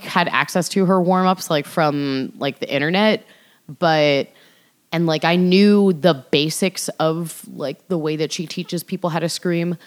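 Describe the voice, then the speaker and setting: A young woman, a band vocalist, talking casually as a podcast guest, loose and chatty with lots of fillers. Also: sits low. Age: young adult. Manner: chatty, filler-heavy, laughs often.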